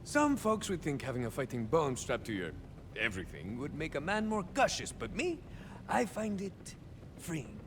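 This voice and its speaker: An actor playing a swaggering young cyborg soldier of fortune in a video game, a touched flavor, musing theatrically and landing wry deadpan punchlines. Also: male voice, fairly high pitch, moderate pace, long reverb tail, a little hiss or hum. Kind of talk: video game character monologue.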